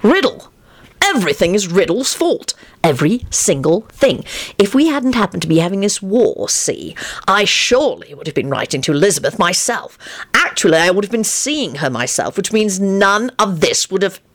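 A woman voicing a mild, pensive young male wizard in a story, calm and deliberate in manner. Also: medium pitch, dry recording. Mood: satisfied, indignant.

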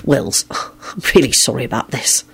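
A narrator voicing a wry, mild-mannered man in a story, apologetic and earnest in a hurried way. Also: mid-range voice, animated, vocal fry, fairly bright tone, slightly rough, pitched below the performer's own voice, exaggerated voice. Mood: calm, low. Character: wry, apologetic grown man, Lupin, quick and evasive.

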